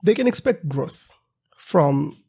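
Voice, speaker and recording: Man, podcast co-host, gravelly, husky, mellow tone, steady explaining. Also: a little high, slow pace. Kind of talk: casual podcast chat.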